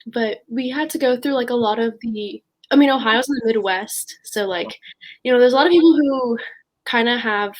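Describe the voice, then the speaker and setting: A teenage girl speaks as a guest in a casual discussion. Her voice is somewhat high. She feels her way hesitantly through personal stories. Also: moderate pace, poor audio. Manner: hesitant, frequent "like". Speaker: podcast guest.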